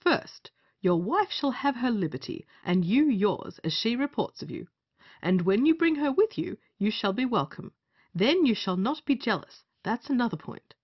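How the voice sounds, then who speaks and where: Woman as husky, commanding justice of the peace. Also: fairly high pitch, big pitch swings, moderate pace. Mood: stern, scheming. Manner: commanding, theatrical. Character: bossy scheming male justice of the peace. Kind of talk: stage comedy in old-fashioned English. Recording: muffled lo-fi audio.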